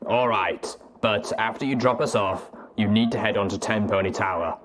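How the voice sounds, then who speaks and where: Guy as gruff tired army pony, lowish slow voice, stoic, noisy clicky recording. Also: close to an everyday voice. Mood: businesslike, firm. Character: gruff, weary male armored soldier pony, stoic. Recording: clearly noisy track with clicks.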